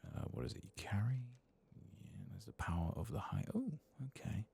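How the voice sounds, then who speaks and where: A man softly commenting on his gameplay in half-whispered, mumbling asides, his voice lower than usual after singing, close to the microphone. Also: wildly swooping pitch, moderate pace. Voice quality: very deep, gravelly and husky. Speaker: ASMR gaming channel host. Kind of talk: soft-spoken gameplay commentary.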